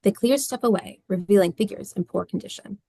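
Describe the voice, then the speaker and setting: A woman's voice: medium pitch, brisk, vocal fry, mellow, slightly rough, no room sound. A woman reading scene descriptions and stage directions as the narrator of a script.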